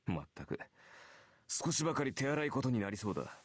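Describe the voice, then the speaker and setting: A man's voice: medium pitch, very animated, slight background noise, close to an everyday voice. A man acting a cynical, confident warrior archer in a visual novel, with cool, sardonic delivery. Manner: cool, sardonic, deliberate phrasing. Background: game music.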